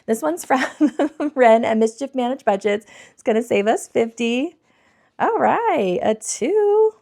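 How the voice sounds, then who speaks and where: A woman in her thirties with a croaky, scratchy throat, chatting to viewers as she counts cash for savings challenges. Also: a little high, a little mellow. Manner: bubbly, addresses viewers as friends, counts money aloud. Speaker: budgeting vlogger.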